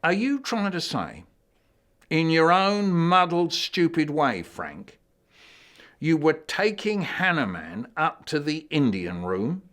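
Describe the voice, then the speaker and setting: A man voicing a blustering, exasperated schoolteacher playing a judge, shouting for order in a storybook reading. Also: a little high, very animated, slow pace, pitched above the performer's own voice, full character voice.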